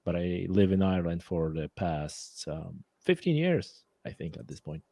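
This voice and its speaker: Polish-accented male guest, low register, halting casual delivery. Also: big pitch swings, creaky, dark tone, no echo. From Poland. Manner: hesitant, restarts phrases, non-native grammar. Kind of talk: casual conversation.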